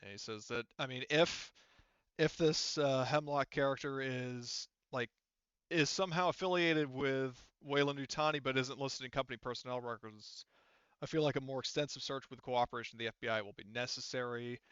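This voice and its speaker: Male game master, clear and bright, rambling off the cuff, slightly dull close voice-chat sound. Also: mid-range voice, no echo. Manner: halting, rambling, repeats himself. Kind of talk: casual narrating of an online tabletop game. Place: online voice chat.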